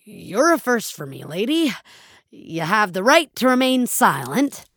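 A woman voicing a portly, amiable, bemused male police officer in narrated audio fiction, slow and plain-spoken. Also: medium pitch, sing-song, fairly bright tone, no echo, clean studio sound. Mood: stern, bemused.

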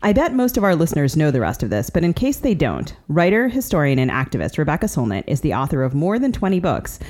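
A woman's voice: low voice, huge pitch swings, quick pace, a little mellow. An American woman co-hosting a podcast, delivering a scripted introduction. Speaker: podcast co-host.

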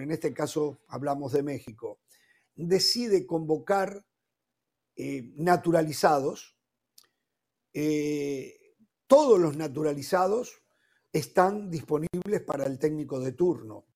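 A man hosting a sports talk panel, animated and leaning on "eh" fillers as he leads a heated debate. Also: on the high side, slow pace. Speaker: sports talk show host.

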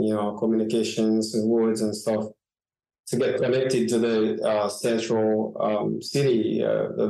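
Middle-aged man from Haiti, guest interviewee; low flat delivery, hesitant, echoey call audio. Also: gravelly. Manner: halting, many fillers and restarts. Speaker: guest journalist and author. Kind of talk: interview answers.

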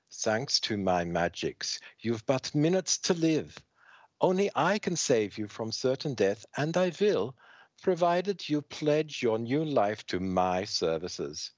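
A scheming sorceress with a fake German accent, taunting her victim in a silky voice, as a man puts her on. Her voice sits well above his deep natural pitch and swings widely. The sound is dry and a little dull. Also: no echo. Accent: put-on German. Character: scheming sorceress woman, cruel and seductive.

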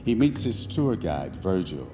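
An actor playing a confident, secretive imaginary companion in an audio drama, speaking in an assured, commanding, ominous way. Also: male voice, sits low, very animated, a little slow. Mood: assured, scheming. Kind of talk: dramatic audio fiction acting. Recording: long hall-like reverb on voice. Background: prominent music.

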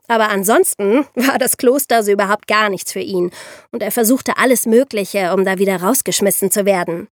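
A woman's voice: medium pitch, no echo, clean studio sound. A woman, co-hosting a podcast and telling history in a conversational storytelling style. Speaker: podcast co-host.

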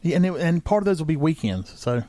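A man's voice: on the high side, big pitch swings, quick pace, mellow, no echo. Dry-sounding man rambling through trip plans. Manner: rambling, restarts mid-sentence while planning aloud. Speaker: podcast co-host. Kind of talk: casual chat among friends.

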